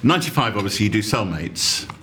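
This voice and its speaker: A man's voice: on the low side, lively intonation, fast, pushed and bright. A man interviewing a guest at a restaurant table, prompting him and recapping his past work. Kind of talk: conversational interview.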